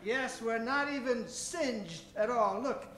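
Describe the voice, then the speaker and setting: An actor playing a hearty, pompous professor adventurer in an old-time radio adventure parody, addressing a young sidekick fondly. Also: male voice, extremely high pitch, slow pace, sharp tone, slight background noise, exaggerated voice. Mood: proud, jolly. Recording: roomy live stage sound with music.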